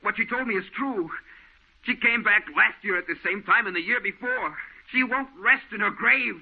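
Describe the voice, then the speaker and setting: A man's voice: sits high, moderate pace, husky. An actor playing a frightened, agitated man in an old radio horror play. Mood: frightened, insistent. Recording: tinny, phone-like old radio recording.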